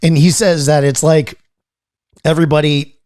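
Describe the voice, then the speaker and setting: A man's voice: a little high, level tone, normal speed, a little mellow, clear, resonant tone, no echo, studio quality. A man from Florida with a Florida accent, chatting casually as a podcast host and rambling with frequent repeats and restarts. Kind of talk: casual chat.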